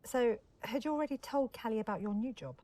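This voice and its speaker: A woman playing a calm, probing documentary interviewer, speaking off mic from a distance. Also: fairly high pitch, brisk, no echo, close to an everyday voice.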